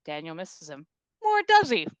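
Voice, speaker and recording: A woman's voice: sits low, huge pitch swings, normal speed, a bit bright, no room sound, muffled recording. A woman reading stage directions at a comedy table read, in an informal, playful way.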